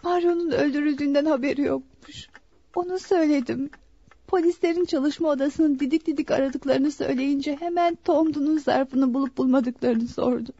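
An actress playing a devoted, frightened and emotionally fragile young daughter in a dramatic radio play. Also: female voice, high voice, normal speed, mellow, dry recording, muffled recording. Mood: fearful, distressed.

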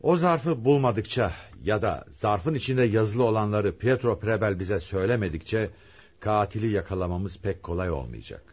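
An actor playing a confident, probing police commissioner with a husky voice and an authoritative manner in a radio play. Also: male voice, fairly low pitch, lively intonation, moderate pace, a little mellow, dull audio. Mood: assured, explanatory. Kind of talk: dramatic radio play acting.

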